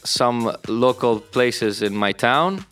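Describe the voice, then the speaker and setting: A man from Canada and Greece giving casual interview answers as a DJ, often halting to search for words. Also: medium pitch, lively intonation, fairly bright tone. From born in Canada, moved to Greece at thirteen. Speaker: DJ and music producer. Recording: music playing underneath. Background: music.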